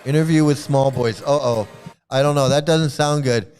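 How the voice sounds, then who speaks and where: A man's voice: mid-range voice, moderate pace, darkish tone, faint music behind. A man hosting a casual reaction video, laughing often and repeating himself as he talks. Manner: laughs often, repeats phrases, stammering restarts.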